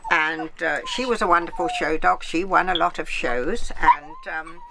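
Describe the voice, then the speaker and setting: Chatty lady pet psychic host, lively up and down voice, kinda low, bit of noise behind. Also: moderate pace, creaky. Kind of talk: casual explanatory talk to camera and client.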